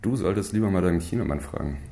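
An actor playing an evasive, mocking biker gang boss in a German radio crime drama, laconic and sardonic, with a gravelly voice. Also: male voice, low voice, expressive, very fast, warm tone. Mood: sly, needling.

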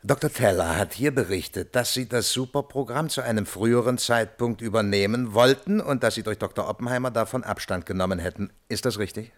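An actor playing a sober, probing lawyer who questions a witness formally and matter-of-factly in a German radio play. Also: male voice, fairly low pitch, fairly quick, few pauses, close to an everyday voice. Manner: formal, matter-of-fact, insistent.

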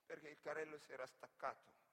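An earnest, wounded migrant labourer. The voice is fairly high and very bright, piercing in tone, telling his story as an emotive stage monologue. It rings through a long hall reverb. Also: level tone, quick pace. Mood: subdued, grave. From Senegal. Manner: emotive, storytelling delivery with repeated phrases. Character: earnest, wounded, naively grateful male migrant worker. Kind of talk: dramatic theatrical monologue.

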